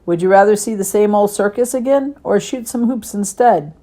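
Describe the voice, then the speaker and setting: Lady doing a gentle storybook dad, calm n proud of his kid, mellow soft tone. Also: medium pitch, normal speed, natural voice. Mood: gentle, thoughtful. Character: gentle, thoughtful, proud father of a young boy.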